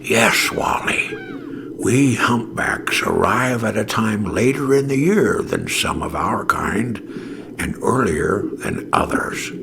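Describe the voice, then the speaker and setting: A weathered old humpback whale from a storybook, voiced by a man, wise and kindly. He speaks very slowly in a breathy, creaky voice, solemn and reassuring, over clear background noise. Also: on the low side, full character voice. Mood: patient, explaining. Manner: calm, reassuring, slow and solemn. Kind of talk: children's storybook character voice.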